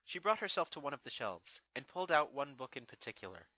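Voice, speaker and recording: A narrator reading a scripted fan fiction story in an expressive storytelling manner. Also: medium pitch, quick pace, no room sound, highs cut off.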